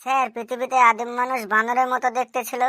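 A woman voicing a cheeky schoolchild in a comic cartoon, answering in a deadpan, literal-minded, politely impudent way. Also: a little high, fast, no room sound, clean studio sound. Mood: earnest. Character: cheeky schoolchild, earnest-sounding classroom smart aleck. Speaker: cartoon voice actor.

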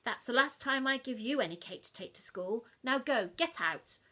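A woman's voice: on the high side, animated, normal speed, bright, forceful tone. A woman voicing a stern mother who questions her son sharply and suspiciously. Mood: accusing.

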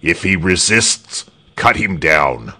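A man's voice: low-pitched, big pitch swings, slow pace, sharp tone, husky, full character voice. A man voicing a cold, tyrannical governor in a storybook reading, speaking in a formal, commanding way. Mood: furious. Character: cold, tyrannical male governor, imperious and menacing.

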